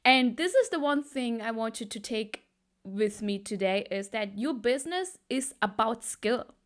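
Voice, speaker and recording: A woman's voice: fairly high pitch, animated, measured pace. A woman from Germany with Tamil as her mother tongue, giving an emphatic motivational talk as a business coach, often repeating phrases for weight.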